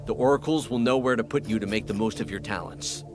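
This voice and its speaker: A man playing a cunning, confident archer scout in a fantasy game, speaking in a measured, conspiratorial way. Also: mid-range voice, lightly acted. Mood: confident, sly. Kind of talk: dramatic fantasy game cutscene acting. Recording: prominent background game music.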